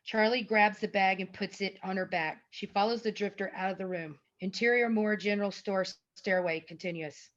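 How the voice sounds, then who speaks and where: A woman's voice: medium pitch, steady tone, moderate pace, few pauses. A woman reading stage directions as narrator at a table read, recorded over a lo-fi video call. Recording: dull lo-fi video call audio.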